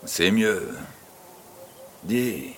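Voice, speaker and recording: Over clearly audible background noise, a low-pitched old farmer , acted by a man, speaks as a fond grandfather. He is teasing and unhurried, spinning tales for a child in an audio drama. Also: lively intonation, fairly bright tone, exaggerated voice. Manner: affectionate, teasing, unhurried storyteller. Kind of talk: French-language audio drama acting.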